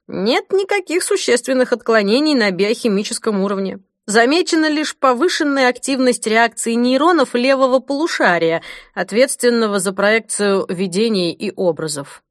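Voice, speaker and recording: Woman as an animated, confident male chief doctor. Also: a little high, normal speed, dry recording, studio quality, lightly acted. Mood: businesslike, emphatic. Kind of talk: audiobook character dialogue.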